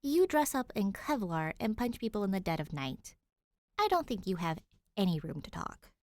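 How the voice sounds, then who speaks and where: A woman's voice: mid-range voice, sing-song, normal speed, a little mellow, dry recording, lightly acted. A woman voicing a cheeky, reckless teenage girl, playful and mischievous, in an audiobook story. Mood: teasing, flippant. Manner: mischievous, flippant, giggly. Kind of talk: audiobook character dialogue.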